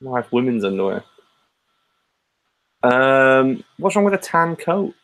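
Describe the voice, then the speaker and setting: A man's voice: mid-range voice, big pitch swings, measured pace, fairly bright tone. A man chatting casually, joking bluntly and swearing freely. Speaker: co-host. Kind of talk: casual chat over a call.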